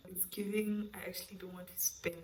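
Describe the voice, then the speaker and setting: A woman's voice: mid-range voice, little pitch movement, brisk, a little hiss or hum. A woman chatting casually and candidly in slangy, conversational language to camera, as a book vlogger. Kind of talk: casual reading vlog chat to camera.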